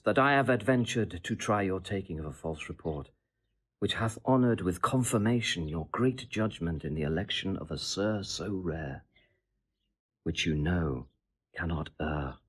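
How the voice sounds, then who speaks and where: Husky, scheming Roman seducer. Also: male voice, deep voice, expressive, a little slow, heavily acted. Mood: humble, courtly. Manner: theatrical, declamatory, elaborate courtly phrasing. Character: smooth, scheming Roman seducer, flattering and insinuating. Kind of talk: classical Shakespearean audio drama acting.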